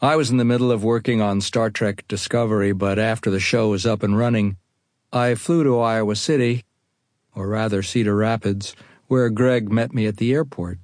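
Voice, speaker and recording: A man's voice: lowish voice, fairly even intonation, moderate pace, a little mellow, dry recording. A man with an American accent narrating a first-person account for an audiobook, as an author reading his own writing.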